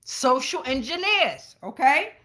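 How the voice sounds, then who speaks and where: A woman's voice: sits high, very animated, takes it slowly, sharp tone. An American woman giving a casual live tarot reading in a slangy, profane, rambling way. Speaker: tarot reader, channel host.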